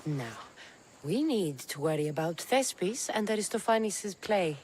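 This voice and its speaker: A woman's voice: on the low side, expressive, unhurried, a little mellow, slight background noise. A woman playing a terse, guarded, determined mercenary in a video game set in ancient Greece. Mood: guarded, earnest. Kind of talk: video game cutscene acting.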